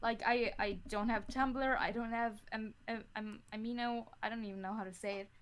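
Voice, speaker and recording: High-voiced, hesitant young woman. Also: steady tone, normal speed. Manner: hesitant, rambling. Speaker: podcast guest, creator of an audio drama. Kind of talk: casual interview chat.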